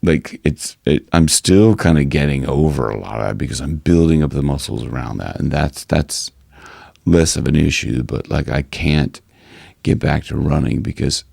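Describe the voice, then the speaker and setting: A man with an extremely low, gravelly voice talks through a health update in a loose, rambling way, full of restarts. His voice is hoarse. The sound is dry and close. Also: moderate pace, a little mellow, dry recording. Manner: rambling, many restarts and fillers. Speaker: singing coach and vlogger. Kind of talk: casual health update vlog.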